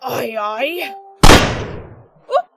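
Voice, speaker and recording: A woman's voice: sits high, sing-song, very slow, heavily acted. A woman playing a sheepish, bumbling pirate underling in a comic manner, with faint noise behind.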